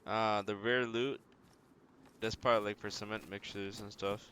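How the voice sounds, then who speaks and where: A man's voice: fairly low pitch, little pitch movement, moderate pace, noisy background. A man giving casual gameplay commentary as he streams a survival game, muttering short, playfully quaint remarks to himself.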